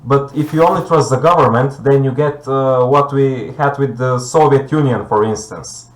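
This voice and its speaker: A man from Bulgaria, debating conversationally as a guest and haltingly searching for words. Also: mid-range voice. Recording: echoey room sound.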